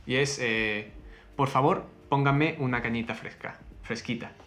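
Chatty relaxed man hosting. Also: mid-range voice. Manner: relaxed, chatty, drawn-out fillers. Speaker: host. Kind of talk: casual chat.

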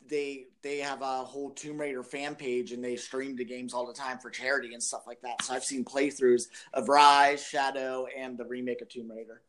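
A man in a casual podcast chat, talking in an animated, halting way with chuckles mixed in. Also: mid-range voice, poor audio.

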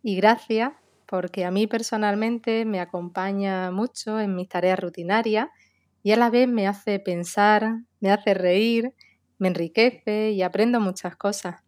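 A woman from Spain with a Spanish accent sends a steady, articulate voice message. The recording is dry, with no room sound around her. Her pitch sits in a middle range. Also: measured pace, no echo. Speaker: listener sending a voice message.

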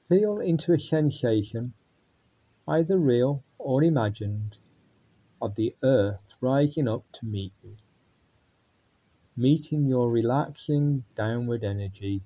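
A man guiding a body scan meditation in a calm, unhurried way with long pauses. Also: mid-range voice, slightly rough, lo-fi sound. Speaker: meditation guide.

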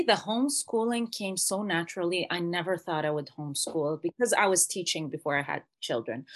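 A woman's voice: fairly high pitch, a bit bright. A woman in her thirties, an earnest and chatty homeschooling mother, talking through her family's routine as a guest in a casual interview. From Palestinian family, raised in Canada. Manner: earnest, chatty, sprinkles Arabic phrases.

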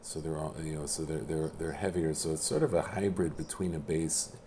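Very deep-voiced man, halting casual talk. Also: dark tone, a little hiss or hum. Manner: halting, many restarts and fillers, self-deprecating. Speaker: musician guest. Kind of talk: casual chat.

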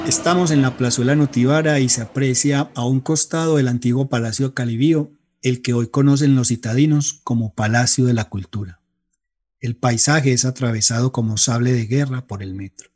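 A man's voice: mid-range voice, fairly even intonation, normal speed, darkish tone, slightly rough. A man with a Colombian accent reading scripted literary narration for a radio drama.

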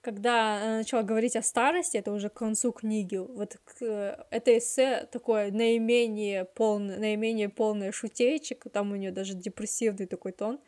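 A woman co-hosting a podcast, talking calmly and evenly about a book. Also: medium pitch, normal speed, hardly any pauses. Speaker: podcast co-host. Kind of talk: casual book discussion.

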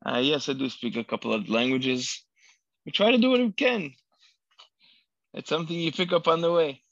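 A man's voice: a little high, normal speed, fairly bright tone, no room sound. A man whose first language is Hebrew, a rabbi answering interview questions in English with many fillers and restarts. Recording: dull lo-fi dry sound.

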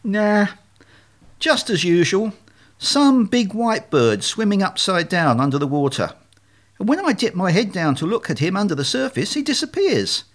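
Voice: medium pitch, very animated, normal speed, voice pushed up. A performer voicing a naive, dim young swan who believes he is an ugly duckling, self-pitying and childlike in a storybook tale. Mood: puzzled.

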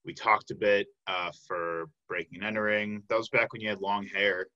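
A man's voice: fairly low pitch, little pitch movement, moderate pace, pushed and bright, muffled recording. A man hosting a casual interview, chatting in a friendly, informal way. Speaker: podcast host.

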